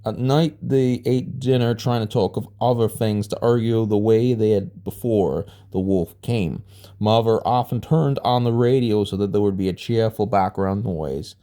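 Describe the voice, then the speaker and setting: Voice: mid-range voice, moderate pace, dark tone. A storybook narrator reading aloud informally, now and then stumbling over a word and rereading it. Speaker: storybook reader.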